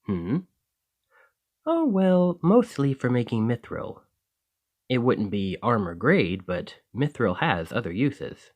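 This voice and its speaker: A man playing a friendly, excitable village alchemist in an ASMR roleplay, chatting eagerly to the listener in a slightly flustered, rambling way. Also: mid-range voice, takes it slowly.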